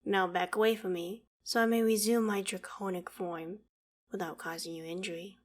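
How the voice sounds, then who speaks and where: A woman's voice: medium pitch, steady tone, moderate pace, close to an everyday voice. A woman playing a proud, ancient female dragon in an audio roleplay, speaking in formal, archaic phrases with a haughty, theatrical manner that still holds fondness for humans. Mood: proud, dismissive. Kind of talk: scripted audio roleplay monologue.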